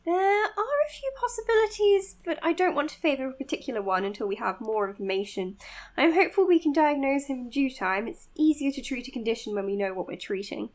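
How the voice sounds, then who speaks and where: Young lady psychiatrist, high pitched, modest, precise, bit hesitant, audio drama style. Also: animated, fast. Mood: earnest, careful. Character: earnest, modest young woman psychiatrist, careful and devoted. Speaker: doctor being interviewed on a podcast. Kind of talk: audio drama acting, natural interview and voice notes.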